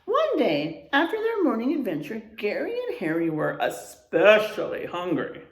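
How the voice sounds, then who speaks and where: A woman teacher reading a children's storybook aloud in an expressive way, adding explanations and checking in with her young listeners. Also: mid-range voice, moderate pace. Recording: roomy, echoing room sound.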